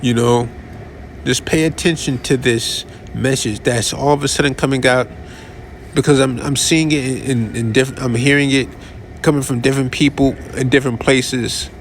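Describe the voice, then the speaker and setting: Halting, conversational podcast man. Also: medium pitch, steady tone, slight background noise. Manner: conversational, halting with restarts and asides. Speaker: podcast host. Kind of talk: casual commentary.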